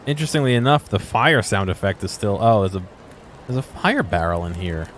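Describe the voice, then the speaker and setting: Low-voiced man musing over gameplay, some noise. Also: sing-song, brisk. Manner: musing aloud, asking himself questions. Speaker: video game streamer and commentator. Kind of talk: casual unscripted gameplay exploration commentary.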